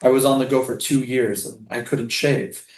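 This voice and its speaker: A man's voice: mid-range voice, little pitch movement, moderate pace, darkish tone, natural voice. Echoey weary male prisoner read by an actor. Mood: reflective. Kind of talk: staged play reading. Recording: roomy, echoing stage sound.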